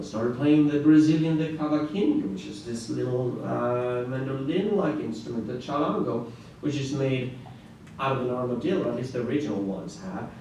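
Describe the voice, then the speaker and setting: Norwegian guy giving a talk, lots of restarts and "right?", very echoey, sounds far off. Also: medium pitch. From Norway. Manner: animated storytelling with frequent restarts and "right?" asides. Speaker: conference presenter, classical guitarist. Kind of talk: conference talk. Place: large echoey conference room. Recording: echoey room, distant mic, faint noise.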